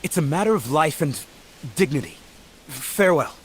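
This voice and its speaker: A man's voice: a little high, animated, moderate pace, slight background noise, natural voice, low-quality recording. Man playing a grumpy master with swooping intonation. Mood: haughty. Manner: curt, dismissive, pompous. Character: aloof, grumpy, dignified male martial master. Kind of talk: dramatic game cutscene acting.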